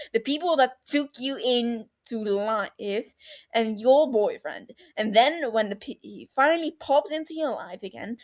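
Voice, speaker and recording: A woman's voice: fairly high pitch, lightly acted. A woman voicing an angry, condescending young man in a story, stern and biting as he scolds a friend. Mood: furious.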